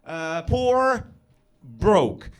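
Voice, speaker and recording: A woman's voice: fairly low pitch, very animated, drawn-out words, full character voice. Earnest young American woman, polite but pushy, voiced by a male comedian. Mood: insistent. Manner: polite, insistent.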